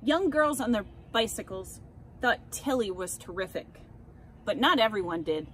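An American woman reading a children's picture book aloud expressively, with deliberate, dramatic emphasis on key words. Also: mid-range voice, animated, a bit bright. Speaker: read-aloud storyteller. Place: outdoors beside a house. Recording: clear background ambient noise outdoors.

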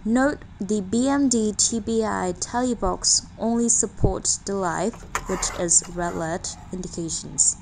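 A woman's voice: mid-range voice, a little slow, vocal fry, hardly any pauses, a little hiss or hum, low-quality recording. A woman reading a scripted technical tutorial carefully, word by word. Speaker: tutorial narrator.

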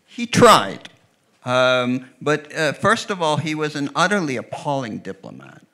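A middle-aged American man, an author and historian, explaining history in a measured way with frequent fillers, speaking into a handheld mic on an outdoor veranda stage. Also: medium pitch, big pitch swings, sharp tone. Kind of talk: onstage conversation.

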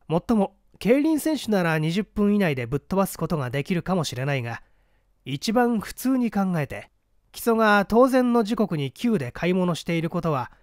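A man's voice: high voice, no room sound, clean studio sound. A man voicing a shrewd, condescending scholar-detective in a mystery reading, lecturing methodically and a little pompously. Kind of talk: dramatic storybook reading of a mystery.

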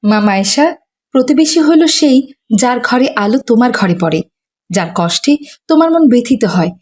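Cartoon casting: woman as gentle, pious mum, instructive manner, high voice. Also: animated, moderate pace, close to an everyday voice. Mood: gently instructive. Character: patient, devout mother gently teaching her daughter. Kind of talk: Islamic moral cartoon dialogue.

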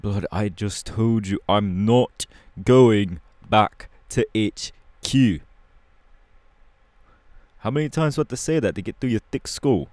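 A low, dry-recorded voice of a young punk hero acted by a man in an audio roleplay. He drawls in casual slang, rebellious and laid-back, with a short fuse. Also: measured pace, darkish tone, dry recording, lightly acted. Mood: irritated, defiant.

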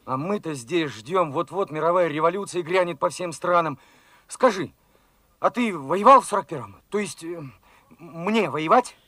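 A man's voice: fairly high pitch, animated, normal speed, pushed and bright, no room sound. An actor playing an eager, naive young revolutionary fighter who questions his father with simple wonder, in Soviet radio drama style. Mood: excited, anxious. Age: young adult.

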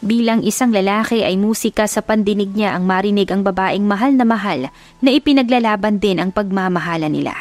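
A woman's voice: mid-range voice, a little fast, darkish tone, soft background music. A woman reading a scripted love story as a dramatic audiobook narrator.

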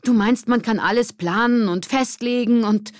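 A woman's voice: a little high, normal speed, no room sound, clean studio sound, voice pushed up, lightly acted. A woman voicing an impulsive, exasperated adult woman in an audiobook quarrel, speaking emphatically. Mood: exasperated, angry. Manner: agitated, emphatic. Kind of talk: acted audiobook dialogue.

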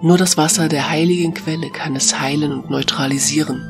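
A deep-voiced alpha female creature of a fantasy forest, played by a woman. She is noble and sure of herself, instructing calmly and with gentle warning. Music plays clearly underneath. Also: brisk. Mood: gently admonishing. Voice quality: deep, feminine. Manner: calm, wise, gently admonishing. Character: noble wise alpha female talking forest beast, self-assured. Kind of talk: German fantasy audio drama.

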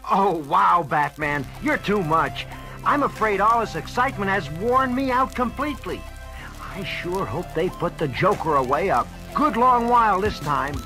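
A superhero's eager boy helper on a vintage comic-book record. The voice is very high and animated, with music clearly behind it. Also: normal speed, low-quality recording. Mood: admiring. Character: excitable, eager young boy sidekick to a superhero. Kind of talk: comic-book audio drama acting.

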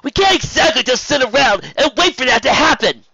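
A man's voice: fairly high pitch, very animated, moderate pace, pushed and bright, no echo, dull audio, voice pushed up, exaggerated voice. A man voicing a young male dragon in a storybook reading, earnest and emotional, with easily wounded pride. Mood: defiant, urgent.

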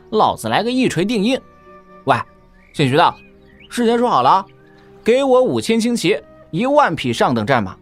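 A man playing a brash, glory-hungry cavalry general in a wuxia audio drama, with a swaggering, blunt, rough-spoken manner. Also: a little high. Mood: bold, demanding.

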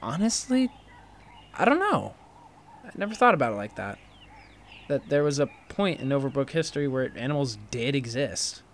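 A male actor plays an inquisitive, impulsive man who gets defensive easily. His delivery is conversational audio drama dialogue at an average pitch. There is clear ambient noise behind him. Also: normal speed, long pauses. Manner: animated protests, inquisitive, defensive. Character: Wynn, impulsive, curious, defensive male friend.

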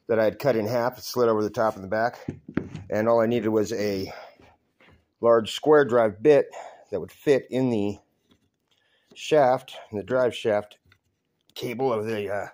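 A middle-aged man giving a casual, folksy, self-deprecating demonstration of his homemade build in short, halting phrases, recorded on a handheld phone in a garage. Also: medium pitch. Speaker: hobbyist DIY builder. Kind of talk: casual show-and-tell demonstration. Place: cluttered garage with open door. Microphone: handheld phone held by the speaker.

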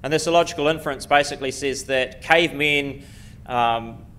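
A middle-aged man with a New Zealand accent, giving a quick, self-deprecating conference lecture full of ums and restarts, speaking into a lectern mic in a lecture room. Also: medium pitch, fairly bright tone, a little hiss or hum. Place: lecture room before a seated audience. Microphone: podium mic at a lectern.

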